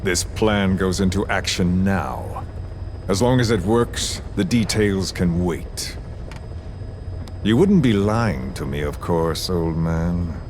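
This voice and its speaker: Villain for a game audio drama: deep, deliberate, icy politeness with a mocking edge, noisy tape sound. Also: male voice, lively intonation, a little mellow. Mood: cold, impatient. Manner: deliberate, sardonic, coolly formal. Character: cold, menacing, courteous middle-aged male villain mastermind. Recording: clearly noisy audio-tape style recording.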